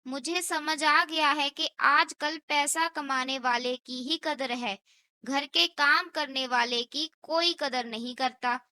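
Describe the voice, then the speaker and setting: A woman's voice: sits high, fairly even intonation, slow, sharp tone, no room sound, full character voice. A woman voicing an earnest, dutiful young daughter-in-law in a cartoon story, speaking carefully and sincerely. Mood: resolute. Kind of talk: scripted cartoon story dialogue.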